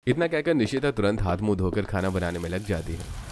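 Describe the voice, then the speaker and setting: A man's voice: fairly low pitch, lively intonation, a little fast, a little mellow, soft background music. A man narrating a scripted story as a storyteller.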